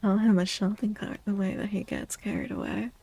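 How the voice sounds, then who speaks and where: Woman, soft-spoken ASMR streamer, mellow tone, breathy, gentle, crackly vocal fry, drifting toward whispers. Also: medium pitch, huge pitch swings, no echo. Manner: gentle, slow, repeats phrases, often slipping into whispers. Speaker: streamer and tarot reader. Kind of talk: soft-spoken ASMR livestream with casual chat.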